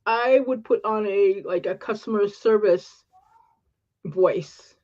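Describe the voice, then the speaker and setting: An earnest woman with an upper-class Canadian accent, speaking in quick fragments. Her voice sits at a middle pitch. The stream audio is slightly dull and lo-fi. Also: darkish tone. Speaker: panelist. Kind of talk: casual live-stream panel chat.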